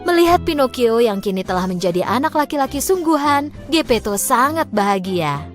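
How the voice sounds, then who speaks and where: A woman tells a children's fairy tale as a storyteller. Her voice is fairly high and very animated, with clearly phrased, expressive reading over light background music. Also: moderate pace.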